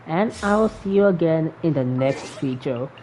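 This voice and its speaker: A woman's voice: deep voice, lively intonation, normal speed, warm tone. A woman with a Filipino accent giving casual, rambling reaction commentary as host of a gaming video. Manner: rambling, informal. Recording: muffled mic with faint noise. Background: faint noise.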